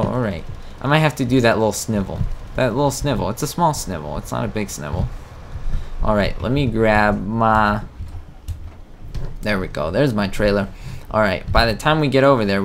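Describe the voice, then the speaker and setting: Guy rambling over his farming game, low-ish voice, pokes fun at himself, little hum of game noise behind. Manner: chatty, self-mocking, talks to viewers. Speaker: gameplay commentator. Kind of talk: casual let's-play commentary.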